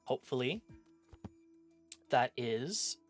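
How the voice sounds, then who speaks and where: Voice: mid-range voice, lively intonation, takes it slowly. Sleepy streamer chatting over music. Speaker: streamer, host. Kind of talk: casual livestream sign-off chat. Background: background music.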